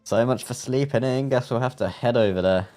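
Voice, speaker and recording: A man's voice: mid-range voice, fairly quick, warm tone, faint music behind. A man livestreaming a game, giving casual, rambling, somewhat hesitant commentary to his viewers. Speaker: game streamer.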